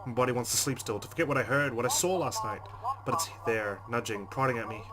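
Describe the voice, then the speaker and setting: A man's voice: medium pitch, fast talker, very noisy. A man hosting a let's play, reading visual novel narration aloud in a dramatic way. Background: game music.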